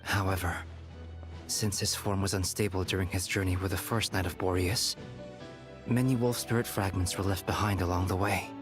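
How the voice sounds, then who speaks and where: Man voicing a grave measured advisor. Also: sits low, steady tone, close to an everyday voice. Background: game music.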